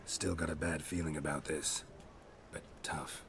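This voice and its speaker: An actor voicing a gruff, stoic monster hunter in a video game, terse and deadpan with a gravelly low voice. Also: male voice, a little slow, slight background noise, exaggerated voice. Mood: wary, reluctant. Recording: music behind the voice.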